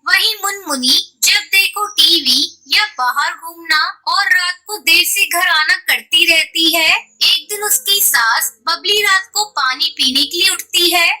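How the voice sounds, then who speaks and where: Lady narrator for kids cartoon stories, super high and really bright voice. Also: slow pace, hardly any pauses, rough recording. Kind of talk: scripted children's story narration.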